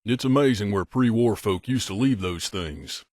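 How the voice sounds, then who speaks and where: A man's voice: lowish voice, normal speed, a little mellow, no room sound, clean studio sound, close to an everyday voice. A man playing a middle-aged mercenary bodyguard in a video game, a blunt and guarded loner who talks in a terse, matter-of-fact, aloof way. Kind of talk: video game character dialogue.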